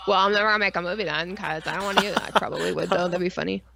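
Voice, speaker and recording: A woman's voice: on the low side, steady tone, rapid-fire, vocal fry, pushed and bright. A woman co-hosting a casual podcast stream, ranting about films with sarcastic mock outrage and plenty of swearing. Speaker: podcast co-host. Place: live online stream.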